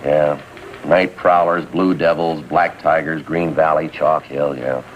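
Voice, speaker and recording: A man playing a terse, dry police detective in a 1950s TV drama, with an American accent and clipped, deadpan delivery. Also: very deep voice, a little slow, muffled recording, a little hiss or hum. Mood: businesslike. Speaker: lead actor and narrator of a police series. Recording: vintage 1950s TV soundtrack, background music.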